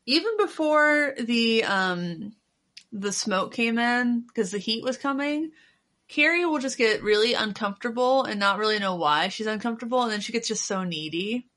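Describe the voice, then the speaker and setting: A woman chatting casually and informally, with animated, mocking asides. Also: a little high, moderate pace. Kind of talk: casual chat.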